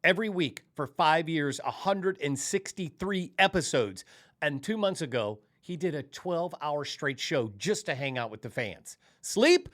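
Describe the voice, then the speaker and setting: An American man in middle age hosts a sports podcast with a bright, forceful voice. His pitch sits fairly high and swings widely as he punches key words like a showman. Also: animated, a little slow. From Tennessee, United States. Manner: emphatic showman delivery. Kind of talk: upbeat podcast intro and interview chat.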